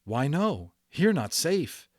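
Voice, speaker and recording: A man voicing a gentle, worried young mother from a storybook who speaks in halting, broken English. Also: on the high side, big pitch swings, no echo, clean studio sound, voice pushed up, exaggerated voice. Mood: doubtful, worried. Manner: slow, halting, clipped phrases. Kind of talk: storybook character voice.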